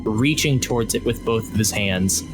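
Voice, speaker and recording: A game master narrating a tabletop roleplaying game in unhurried, descriptive prose. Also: mid-range voice, over music.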